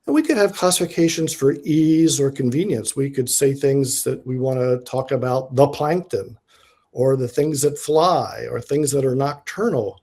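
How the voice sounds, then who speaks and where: A man giving an informal academic presentation as a guest lecturer. He is enthusiastic and tries to speak slowly and deliberately, though his speech has many fillers and restarts. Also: mid-range voice, darkish tone. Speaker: guest lecturer, diatom researcher.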